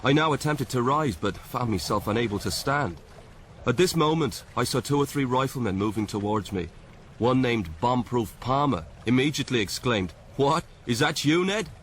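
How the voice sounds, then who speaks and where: A man's voice: medium pitch, animated, normal speed, natural voice. An actor playing a plain-spoken, shaken army corporal, telling his war story earnestly and emphatically in a radio drama. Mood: urgent, shaken. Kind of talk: dramatic radio monologue, memoir testimony. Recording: noisy radio drama recording.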